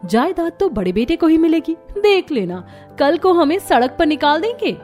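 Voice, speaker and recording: A woman voicing a haughty, greedy young daughter-in-law in a cartoon drama, sharp and bossy, over music. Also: high-pitched, very animated, normal speed. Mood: manipulative. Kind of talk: Hindi cartoon story dub.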